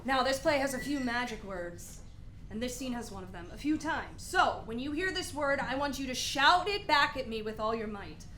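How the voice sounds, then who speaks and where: Female radio play host, slightly high-pitched, explaining to an audience over faint noise. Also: animated, normal speed, sharp tone. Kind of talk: addressing an audience, giving instructions.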